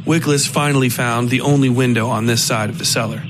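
Male narrator, medium pitch, scripted dark fiction, horror podcast storytelling, clear music underneath. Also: fairly even intonation, a little fast.

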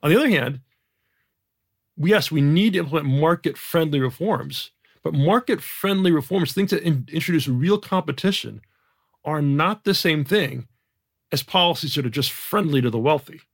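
A man's voice: on the high side, expressive, normal speed, vocal fry. A man from Jamaica with a general American accent, explaining economics as a podcast guest in an emphatic way, often repeating words and adding rhetorical asides.

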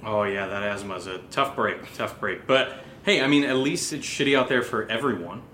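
A man's voice: on the low side, normal speed, sharp tone, echoey room, a little hiss or hum. A man acting a brash, upbeat, sweary young roommate in a naturalistic audio drama, breezy and cajoling. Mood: awkward, casual.

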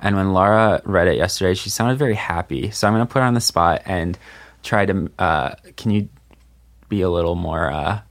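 A man's voice: deep voice, normal speed. A man hosting a podcast in casual chat, steering the talk and teasing his co-hosts. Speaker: podcast host.

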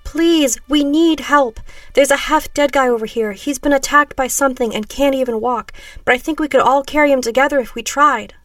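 A woman's voice: medium pitch, moderate pace, hardly any pauses, no echo, clean studio sound, far from the mic, close to an everyday voice. A woman voicing a desperate, confused but defiant young heroine with an earnest, pleading manner in an audiobook.

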